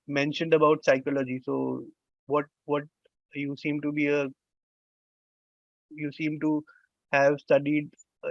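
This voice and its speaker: A male host with a gravelly edge asks his questions in a flat, halting way, repeating words and filling gaps with "uh." The sound is dull and lo-fi, like a video call, and completely dry. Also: mid-range voice, dry recording. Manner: hesitant, repeats words, many "uh" fillers. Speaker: interview host. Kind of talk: conversational interview.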